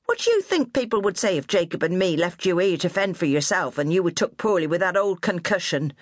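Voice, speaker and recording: A woman's voice: fairly low pitch, very animated, brisk, no room sound, clean studio sound, voice pushed up. A woman voicing a bossy, stubborn old countrywoman with a who scolds bluntly. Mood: firm.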